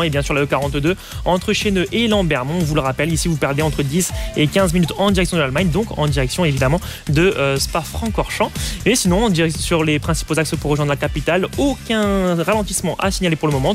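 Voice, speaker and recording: A man giving a live radio traffic bulletin. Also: a little high.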